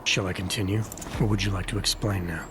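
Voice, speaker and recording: An actor playing a stern, composed agent in a video game, questioning a suspect in a controlled, probing way. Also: male voice, low voice, fairly even intonation, fast, natural voice. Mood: probing, skeptical. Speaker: investigator. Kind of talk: video game dialogue acting.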